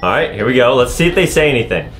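A young man with a very lively, swinging delivery co-hosts a gaming video. He banters and playfully protests over clearly audible music. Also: mid-range voice, very fast.

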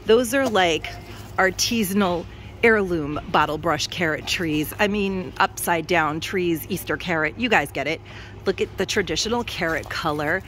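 A woman's voice: lowish voice, moderate pace, fairly bright tone, slight background noise. A woman vlogging as she walks through a discount store, filming on a handheld phone and gushing enthusiastically to her viewers. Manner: gushing, enthusiastic, addresses viewers as you guys. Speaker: shopping vlogger. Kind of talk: casual shop-with-me chat. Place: inside a large discount store. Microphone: handheld phone camera.

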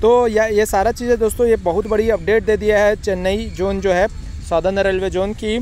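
A young man hosting an exam-coaching video, talking fast and informally to his viewers beside a railway track, recorded on a phone. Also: sits high, steady tone, darkish tone, slight background noise. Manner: brisk, repeatedly addresses viewers as friends. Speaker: exam coaching channel host.

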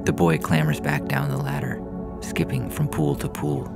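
A man narrates fiction in an extremely low voice with heavy fry. His reading is measured and deliberate, set over clearly audible music. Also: big pitch swings. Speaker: podcast story reader.

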